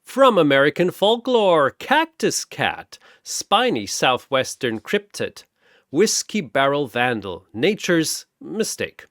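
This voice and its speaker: Guy doing a showy teasing god as ring announcer, big swooping pitch, dry close sound, audio story. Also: sing-song, slow, fairly bright tone, no room sound, heavily acted. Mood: showy, mocking. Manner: theatrical, grandiose, teasing. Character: bored, gleefully mocking four-armed almighty god playing arena announcer. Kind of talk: bombastic showman ring announcing.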